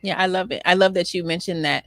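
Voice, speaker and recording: A woman's voice: lowish voice, fairly even intonation, fast talker, a bit bright, no echo, studio quality. An American woman hosting a money advice talk, speaking conversationally with emphatic, encouraging delivery and often acting out what people might say. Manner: emphatic, encouraging, acts out imagined remarks. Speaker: talk show host on real estate financing.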